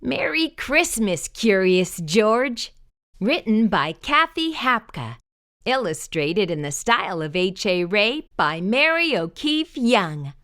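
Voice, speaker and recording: Lively woman reading a children's book aloud. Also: medium pitch, slow pace. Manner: expressive, sing-song storytelling to kids. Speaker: children's storytime host.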